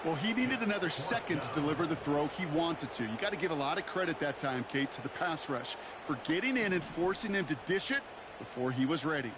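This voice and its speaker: Man acting as American football color analyst, fairly high voice, folksy, emphatic, conversational, very noisy backdrop. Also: animated, brisk, close to an everyday voice. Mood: analytical, emphatic. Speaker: color commentator. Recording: loud stadium noise behind voice.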